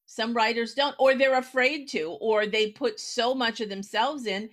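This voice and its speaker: A woman's voice: a little high, unhurried, a bit bright. A woman with an American accent hosting a conversational interview, explaining her points emphatically with examples. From United States. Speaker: podcast host. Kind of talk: conversational interview about writing.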